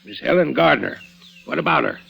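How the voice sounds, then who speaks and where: An actor playing a pompous, blustering older businessman with a gravelly voice in an old-time radio drama. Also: on the high side, animated, fairly quick, fairly bright tone, full character voice, poor audio. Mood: blustering, defensive. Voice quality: gravelly, creaky. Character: pompous, indignant, older wealthy businessman, an uncle. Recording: vintage radio broadcast recording.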